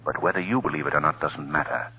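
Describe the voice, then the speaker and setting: A very deep, mysterious unseen crime fighter. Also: male voice, fast, slightly rough, soft background music, heavily acted. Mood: commanding, urgent. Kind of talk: 1930s radio drama acting. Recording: muffled old radio recording.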